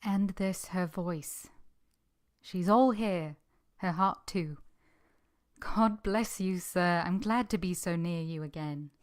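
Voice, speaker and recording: A woman's voice: lowish voice, slow. A woman playing an earnest, tender former governess, acting and narrating her own story in a radio drama. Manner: earnest, gentle, heartfelt.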